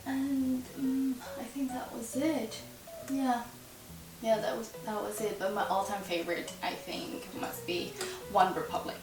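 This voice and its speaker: A young Dutch woman of twenty-one rambles cheerfully to camera, giggling and filling gaps with likes and ums. She sounds set back from the mic in a roomy space, with music running underneath her voice. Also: medium pitch. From Netherlands. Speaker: YouTuber answering a tag. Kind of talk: casual chat to camera. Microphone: camera mic at a distance. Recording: roomy, distant, with music behind. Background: music playing under the voice.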